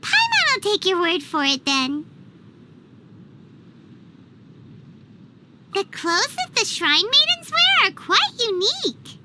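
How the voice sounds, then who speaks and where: A woman playing a tiny, bubbly, childlike floating fairy companion with a squeaky voice, in video game voice acting. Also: wildly swooping pitch, a little slow, very noisy, heavily acted. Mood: wistful, puzzled. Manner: bubbly, refers to herself in third person.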